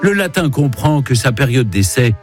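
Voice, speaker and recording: A man's voice: medium pitch, sing-song, moderate pace. Guy doing a jokey history bit on the radio, voice bounces around a lot, light music under. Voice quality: playful, wry delivery. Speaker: radio chronicle host. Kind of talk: humorous scripted history chronicle.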